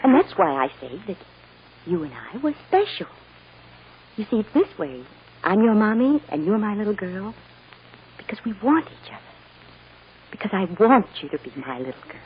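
An earnest, loving American mother played by a radio actress speaks in a muffled vintage radio recording with light noise. Her delivery is tender and reassuring, with lively swings of intonation as she soothes her child. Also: mid-range voice, moderate pace, long pauses, lightly acted. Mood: gently explaining. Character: loving mother gently soothing her young adopted daughter. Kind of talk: 1940s-50s American radio drama acting. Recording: dull, noisy old radio recording.

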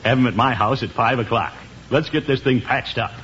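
An American actor playing a giddy, blustering middle-aged uncle in a 1950s radio comedy, theatrical and chuckling. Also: male voice, medium pitch, brisk, lightly acted. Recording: noisy, muffled 1950s radio recording with audience.

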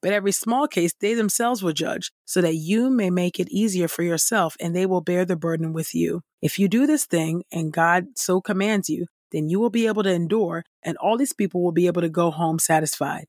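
A woman reading scripture and praying as a devotional host, with measured, reverent phrasing. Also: lowish voice, a little mellow, no echo, studio quality. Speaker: devotional podcast host and Bible reader.

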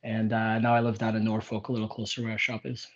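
A man's voice: lowish voice, completely flat delivery, fast. A man from Portugal, a native Portuguese speaker, telling his own story as a guest in a casual, rambling way. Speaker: interview guest, business owner. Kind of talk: casual chat, personal storytelling. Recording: slightly dull, lo-fi audio.

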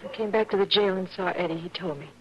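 Hurt, resolute frontier wife, played by an actress. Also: female voice, medium pitch, quick pace, natural voice. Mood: anxious. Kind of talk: 1950s radio western acting. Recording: dull, noisy old radio recording.

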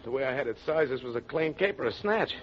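An actor playing a sick, frightened small-time crook with slangy, street-tough radio gangster talk. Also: male voice, medium pitch, animated, fast, lightly acted. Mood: sickened, rattled. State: sick, queasy. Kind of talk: 1940s radio gangster acting. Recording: dull, noisy old radio recording.

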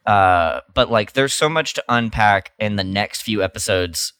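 A man with a fairly low voice co-hosts a podcast in casual chat. He reacts informally and with emphasis, and the sound is dry and close. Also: animated, unhurried, a bit bright, clean tone, no echo. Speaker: podcast co-host.

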